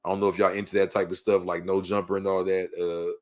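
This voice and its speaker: A man's voice: deep voice, level tone, fast talker. A man from Los Angeles with a local accent, talking in a casual, slangy way as a podcast guest telling his story. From Los Angeles, United States. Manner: slangy, peppered with "you know what I mean".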